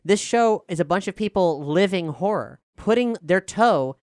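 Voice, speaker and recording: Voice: mid-range voice, lively intonation, moderate pace, no echo, studio quality. A podcast showrunner and host talking casually with guests, then reading out an earnest promotional announcement to listeners.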